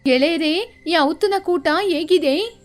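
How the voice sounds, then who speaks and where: A woman acts out a wise cartoon duck trader with a very high voice. She addresses the villagers in a warm, speech-making manner, with music underneath. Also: takes it slowly. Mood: earnest. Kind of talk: animated moral story dialogue for children.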